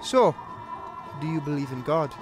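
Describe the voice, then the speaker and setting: An actor playing a mean, pious outlaw gunslinger with a taunting manner in a western audio drama. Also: male voice, fairly high pitch, animated, moderate pace, natural voice. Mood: cold, taunting.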